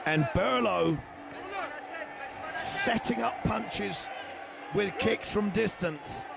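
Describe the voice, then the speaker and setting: Hoarse older male fight commentator. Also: sits high, extremely slow, over a noisy crowd. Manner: excitable, shouts big moments. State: losing his voice. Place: arena.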